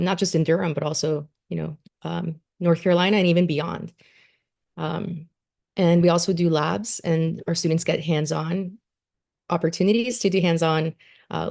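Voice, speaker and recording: Low gravelly woman presenting a program. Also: a little mellow. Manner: earnest, many fillers and restarts. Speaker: education program coordinator. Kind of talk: presentation at a community meeting.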